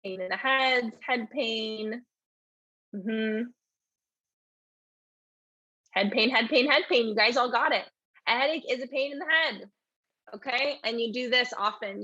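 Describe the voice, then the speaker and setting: A woman's voice: a little high, sharp tone, breathy edge, dry recording, muffled recording. A woman teaching a live online English lesson in a chatty way, reading out and answering questions from the chat.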